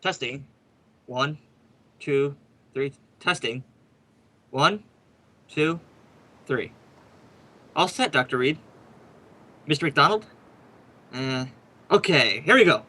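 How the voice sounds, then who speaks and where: A man's voice: a little high, bright, forceful tone, full character voice. A man acting a brash, wisecracking ghost hunter who hosts a radio program, in a chatty, showy old-time radio drama style. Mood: businesslike.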